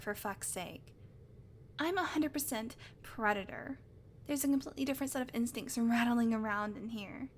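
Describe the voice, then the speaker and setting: A woman's voice: on the high side, slight background noise, lightly acted. A woman playing a sarcastic, teasing vampire who swears casually, in a conversational audio roleplay. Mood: defensive, challenging. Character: sardonic female vampire, unrepentant predator, teasing. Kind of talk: audio roleplay acting, conversational monologue to listener.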